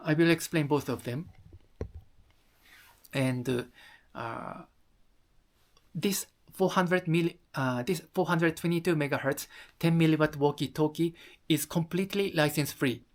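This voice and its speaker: A person from Japan with a Japanese accent presenting an explanatory talk, speaking haltingly and often rephrasing. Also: medium pitch. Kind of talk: explanatory talk to camera audience.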